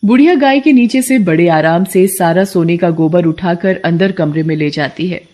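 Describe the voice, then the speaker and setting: Lowish-voiced woman narrating a folktale. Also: moderate pace, warm tone. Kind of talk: scripted children's folktale narration in Hindi.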